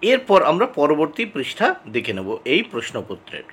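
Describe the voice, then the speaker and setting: A man teaches by reading exam questions and answers aloud. His voice sits a little high and has a husky edge, with pitch that moves up and down in a very lively way. He speaks to students directly and repeats key answers in a deliberate, instructional manner. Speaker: exam tutor.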